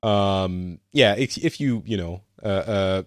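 A man's voice: low-pitched, slow, dry recording, studio quality. A man from France hosting a podcast in casual chat, rambling with many fillers and restarts. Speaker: podcast host.

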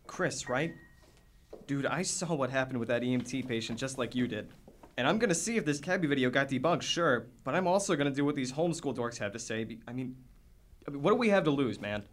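A man's voice: mid-range voice, lively intonation, fairly quick, lightly acted. A man playing a reasonable teenage boy in a radio play. His voice sits in the middle of the male range. He sounds casual and slangy, with a sarcastic edge. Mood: exasperated. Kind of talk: youth radio drama acting.

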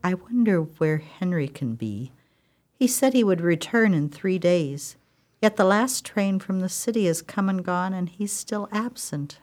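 A woman plays a bossy, jittery sister in a radio chiller. Her voice is fairly low and highly animated. She scolds dramatically, in old-fashioned style. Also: unhurried. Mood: worried. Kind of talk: radio play acting.

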